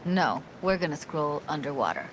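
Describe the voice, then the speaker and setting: A self-assured young female tinkerer with a lowish voice. She talks in teasing, casual slang, in natural game-drama style. Watery ambient noise runs clearly behind her. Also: big pitch swings, normal speed. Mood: playful, confident. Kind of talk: naturalistic video game acting.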